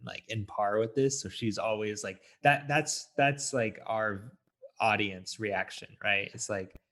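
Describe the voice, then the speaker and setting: A man's voice: on the low side, measured pace, a bit bright. Man casually chatting about films. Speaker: movie review podcast co-host. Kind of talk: casual film discussion.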